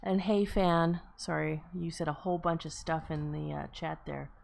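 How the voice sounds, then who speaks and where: A woman's voice: low voice, steady tone, normal speed, darkish tone. A woman hosting an online table read in casual livestream chat. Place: indoors on an online voice chat stream. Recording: clear background noise, clicking.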